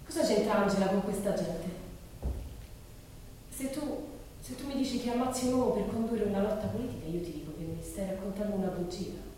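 A woman's voice: mid-range voice, fairly quick, dark tone, far from the mic, lightly acted. A woman playing a curious, outspoken teenage schoolgirl in a dramatic monologue, colloquial and incredulous. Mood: indignant. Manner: colloquial, incredulous, self-questioning. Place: large reverberant room, distant mic. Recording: echoey hall from afar, noisy.

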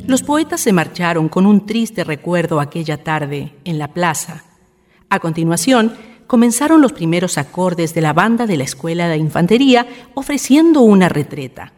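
A woman's voice: lowish voice, big pitch swings, normal speed. A woman reading scripted narration for a radio drama. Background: soft music.

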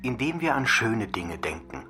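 A man's voice: medium pitch, sing-song, moderate pace. A man giving scripted esoteric self-help instruction. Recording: distant voice under loud music. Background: loud music.

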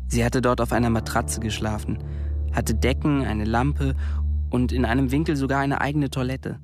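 A man's voice: medium pitch, fairly even intonation, normal speed, music in the background. A man reading scripted literary narration as the narrator of a radio drama.

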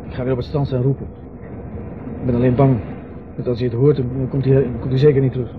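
A man's voice: medium pitch, flat delivery, quick pace, close to an everyday voice. A man playing a curt, evasive, reclusive brother in a radio drama, brusque and dismissive. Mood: dismissive, worried. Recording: dull noisy old radio recording, outdoor ambience.

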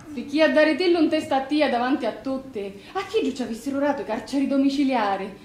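A blunt Sicilian sister acted by a woman speaks in a high, expressive voice with a scolding edge. It is theatre in Sicilian dialect, heard in a reverberant space with a little background noise. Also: fast talker, lightly acted. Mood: reproachful.

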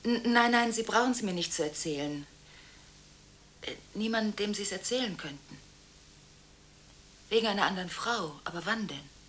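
Actress doing anxious woman on the phone in a radio drama, normal pitch, stumbles and hesitates, hushed then pushy. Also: lively intonation, a little hiss or hum, natural voice. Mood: flustered, hurried. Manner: hesitant, halting, anxious, swinging between hushed and insistent. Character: restless, emotionally contradictory woman on late-night phone calls. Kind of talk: radio monodrama acting, one side of phone conversations.

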